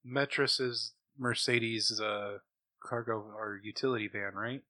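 A man's voice: lowish voice, steady tone, measured pace, pushed and bright, no echo. A man playing a tabletop role-playing game, chatting casually and cracking jokes. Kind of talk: casual joking table chat.

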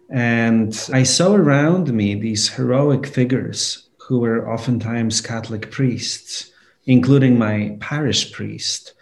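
A man's voice: medium pitch, creaky, a little mellow. A man in his forties from Poland, a priest answering interview questions in an earnest, thoughtful way. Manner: earnest, thoughtful, with many "you know"s. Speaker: priest and guest.

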